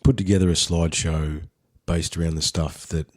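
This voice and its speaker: An Australian man hosting a podcast intro in a husky voice, a little unwell, talking in a laid-back, candid way. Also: low-pitched, steady tone, moderate pace. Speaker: podcast host. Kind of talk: solo spoken intro.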